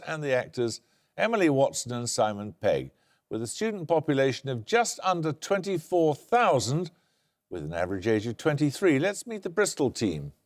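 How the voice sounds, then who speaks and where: An older man hosting a televised quiz, reading questions and introductions in a crisp, brisk manner in a studio. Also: mid-range voice. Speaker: quiz show host.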